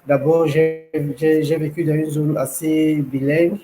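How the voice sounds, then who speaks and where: A young man from Cameroon, a language school founder, telling his story in an interview, measured and hesitant with many fillers. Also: on the high side, level tone, warm tone, faint music behind, rough recording. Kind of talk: interview, personal account.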